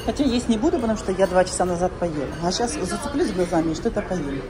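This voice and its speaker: A woman's voice: on the low side, lively intonation, quick pace. A woman vlogging a walk through a ship's buffet, chatting casually and thinking aloud as she films on a phone. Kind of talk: casual walking tour narration. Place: cruise ship buffet restaurant, indoors. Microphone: handheld phone camera. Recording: loud crowd noise around.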